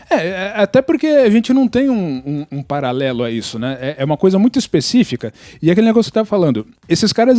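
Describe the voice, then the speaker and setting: A man's voice: on the high side, darkish tone, dry recording. A man with a Brazilian Portuguese accent explaining his opinions in a casual podcast discussion. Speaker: podcast participant.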